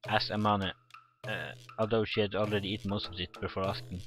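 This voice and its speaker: A man hosting a let's play, reading visual novel text aloud in a casual, halting way with asides. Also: fairly low pitch, little pitch movement, fairly bright tone. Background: game music.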